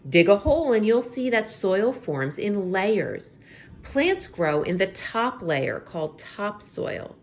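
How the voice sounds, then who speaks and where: A woman teacher reading aloud to young children, expressive, pausing to explain things simply and check in with her listeners. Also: medium pitch, a little hiss or hum. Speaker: elementary school teacher.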